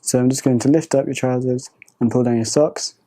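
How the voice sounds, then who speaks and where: A woman doctor examining a patient, giving soft, polite, reassuring instructions. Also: rumbling low, steady tone, a little fast. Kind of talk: gentle clinical instructions.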